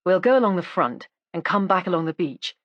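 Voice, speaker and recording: A woman voicing a courteous, discreet male police inspector in an audiobook, measured and understated. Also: lowish voice, expressive, dry recording, muffled recording, lightly acted. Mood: calm, discreet.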